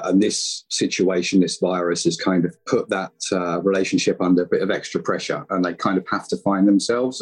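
A man talks casually as a guest in a remote interview. His voice is very low and rough-edged, and he explains things conversationally. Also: a little mellow. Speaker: interview guest, audio drama creator. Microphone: remote video call.

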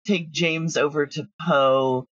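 A woman with a husky voice in a casual, rambling, anecdotal interview as a guest. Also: sits low, steady tone, slow, no room sound. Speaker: interview guest, audio drama creator. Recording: dull, lo-fi, dry sound.

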